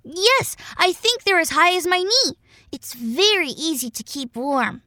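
A woman plays a little storybook boy prince with a very high, bright voice. He is innocent, gentle and earnest, childlike in every line. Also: expressive, unhurried, no room sound, studio quality, full character voice. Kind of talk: acted children's audio fairy tale.

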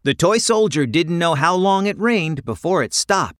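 A woman narrating a scripted children's story in an expressive, dramatic way. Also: lowish voice, normal speed, no room sound, studio quality.

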